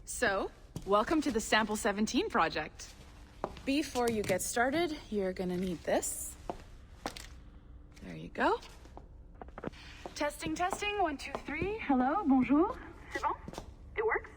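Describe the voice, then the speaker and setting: Lively, encouraging corporate supervisor. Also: female voice, high-pitched, a little slow, long pauses, noisy background. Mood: upbeat, businesslike. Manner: encouraging, breezy, professional. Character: brisk, upbeat corporate project supervisor guiding a recruit. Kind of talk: video game cutscene acting.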